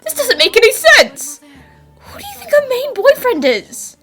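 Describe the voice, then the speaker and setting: Girl doing a curious excitable cartoon detective, bright high voice, very expressive, bit of music behind. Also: normal speed, heavily acted. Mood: shocked. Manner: expressive, exclamatory. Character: excitable, inquisitive young female detective. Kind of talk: animated fan dub voice acting.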